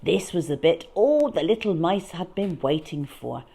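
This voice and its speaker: A woman reading a picture book aloud as a children's storyteller, with dramatic, deliberate delivery and emphatic stresses. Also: on the low side, lively intonation, gravelly, warm tone.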